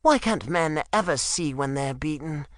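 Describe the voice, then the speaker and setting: A man voicing a sharp-tongued older woman who scolds her husband in an audiobook. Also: a little high, animated, unhurried, dry recording, studio quality, voice pushed up. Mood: exasperated scolding. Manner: exasperated, scolding. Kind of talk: audiobook narration.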